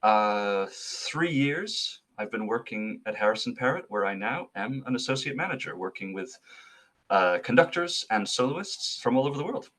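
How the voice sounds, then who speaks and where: A man's voice: mid-range voice, lively intonation, normal speed, fairly bright tone. A young man from Switzerland, answering interview questions as a guest in an articulate, thoughtful way with some fillers and restarts. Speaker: associate artist manager, guest.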